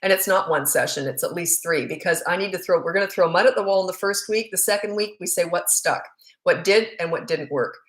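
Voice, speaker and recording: A woman's voice: lowish voice, fairly quick, rough recording. A middle-aged woman with a Canadian accent, a parenting coach answering viewer questions live in a frank, chatty, rambling way.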